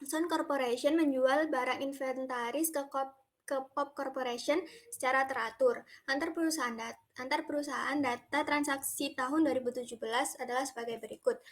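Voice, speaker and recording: A young woman reads through a slide presentation as a student presenter. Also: sits high. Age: young adult.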